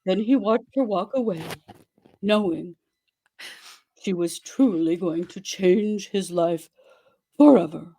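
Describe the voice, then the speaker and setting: A woman giving a theatrical dramatic reading of a story, with exaggerated delivery and dramatic pauses. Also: mid-range voice, mellow, poor audio. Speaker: dramatic reader.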